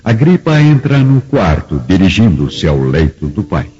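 A man's voice: low-pitched, sing-song, a little slow, mellow, breathy edge. A man with a Brazilian accent narrating a scripted radio drama in a solemn, literary manner. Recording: muffled audio, music underneath.

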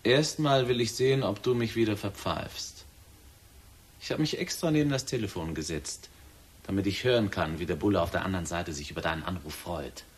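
A man's voice: sits low, expressive, fairly quick, breathy edge. An actor playing a mocking, distrustful young man who defies the police in a German radio drama. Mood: mocking, suspicious.

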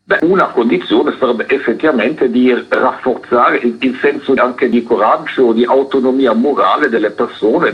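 Man, raspy mid-range voice, intellectual interview guest, dull lo-fi radio sound. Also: medium pitch. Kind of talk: reflective, intellectual interview answers. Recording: muffled lo-fi radio link.

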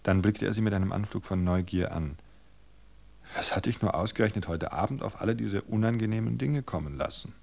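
A man narrating a novel in a measured storytelling manner, slowing for suspense. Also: lowish voice, vocal fry, darkish tone.

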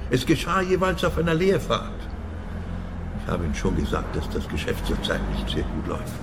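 A worried haulage company boss in a radio play. He is businesslike and a little patronising, with lively swings in pitch. Clear vehicle noise sits behind him. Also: normal speed. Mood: worried, guarded. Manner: businesslike, lecturing.

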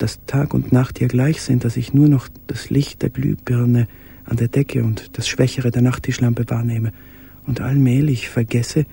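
An actor playing a confined, brooding, tender man who recites letters in a radio drama, hesitant and dreamy, with a breathy half-whisper. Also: medium pitch, warm tone, exaggerated voice. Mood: melancholy.

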